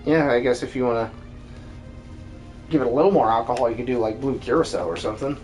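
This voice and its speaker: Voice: mid-range voice, quick pace, a little mellow, faint music behind, low-quality recording. A game streamer who dubs characters, chatting casually during a stream.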